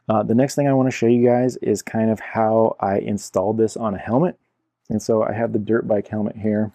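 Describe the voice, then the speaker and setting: A man's voice: fairly low pitch, vocal fry, warm tone, dry recording, studio quality. A man giving a casual hands-on product review in an informal, explanatory way, repeating himself as he goes. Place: indoor room at a cluttered computer desk.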